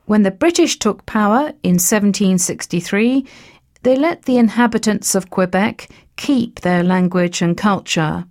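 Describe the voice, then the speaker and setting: Slow, measured woman narrator. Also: medium pitch, expressive, a little mellow. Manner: measured, list-like reading of facts. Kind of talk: scripted educational narration.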